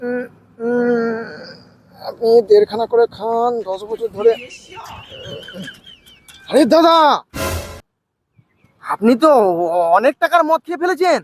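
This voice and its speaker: A man's voice: very high voice, lively intonation, slow pace, long pauses, a little mellow, slight background noise, full character voice. A man playing an earnest, polite passer-by in a comedy skit, deferential and hesitant yet persistently questioning. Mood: earnest, preachy. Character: polite, nosy, moralizing male passer-by, earnest and naive.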